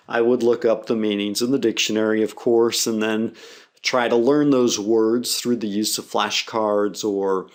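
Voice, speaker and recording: A man in middle age explains how to learn a language, unhurried and deliberate. His voice sits at an ordinary middle pitch. He talks like a teacher walking students through a method, step by step. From English-speaking country. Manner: measured, steady explaining. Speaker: English teacher.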